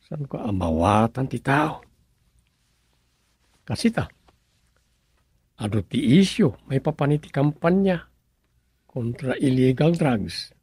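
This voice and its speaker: An actor playing a pensive story writer who mutters and muses to himself in a radio comedy drama. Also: male voice, medium pitch, darkish tone, breathy edge, dry recording.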